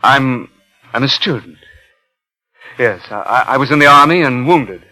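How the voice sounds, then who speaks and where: An actor playing a nervous, obsessive impostor in 1950s radio suspense, with a halting, intense delivery. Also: male voice, mid-range voice, animated, sharp tone, rough recording. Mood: pleading, anxious. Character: nervous, obsessive male impostor and would-be thief. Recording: vintage 1950s radio recording, music behind.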